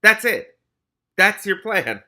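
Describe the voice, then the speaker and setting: A man voicing a wily, grumbling streetwise cat in a storybook reading, quick to hedge and bargain. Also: sits high, sing-song, pushed and bright, pitched above the performer's own voice, exaggerated voice. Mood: sardonic, grumbling. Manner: quick-fire, hedging, sardonic. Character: wily talking male cat, streetwise, grumbling, anxiously deferential.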